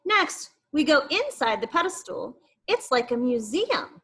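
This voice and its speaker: Woman, elementary teacher, high-pitched voice, animated intonation, children's read-aloud, explanatory asides. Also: measured pace. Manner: expressive, explanatory, addressing young pupils.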